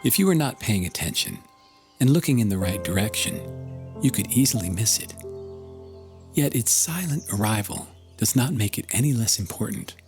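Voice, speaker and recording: Expressive man reading a contemplative passage. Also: lowish voice. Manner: measured, solemn, with long pauses. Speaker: author reading own book. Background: music.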